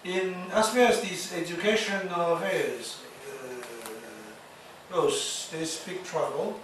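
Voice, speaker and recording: A man giving a university lecture, speaking haltingly with many fillers and restarts. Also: a little high. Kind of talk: classroom lecture. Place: lecture hall. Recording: echoey lecture hall, distant mic, noisy.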